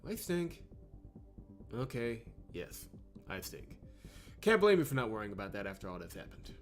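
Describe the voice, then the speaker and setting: A man's voice: on the low side, very animated, moderate pace, soft background music. A man voicing a weary, anxious young male fighter in a visual novel, self-deprecating and hesitant. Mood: weary, grumbling. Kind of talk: visual novel character reading.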